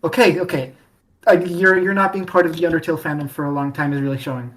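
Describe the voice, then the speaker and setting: Low-pitched woman podcaster, halting and casual. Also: fairly even intonation, noisy background. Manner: halting, repeats and restarts phrases often. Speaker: podcast host. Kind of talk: casual fan discussion.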